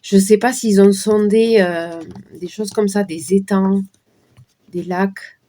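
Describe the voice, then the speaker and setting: A middle-aged woman with a hoarse morning voice, chatting casually to her viewers as she reads tarot cards. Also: mid-range voice, steady tone, slow, mellow, a little hiss or hum. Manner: chatty, talks to viewers while shuffling cards. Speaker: card reader. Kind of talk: casual card-reading livestream talk.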